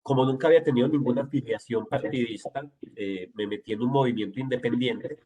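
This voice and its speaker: A man's voice: mid-range voice, quick pace, mellow, husky. A man in his forties with a Medellín Colombian Spanish accent, fluently and confidently explaining himself as a city councilman in an interview. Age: 40s. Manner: fluent, self-assured, explanatory. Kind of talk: interview answers.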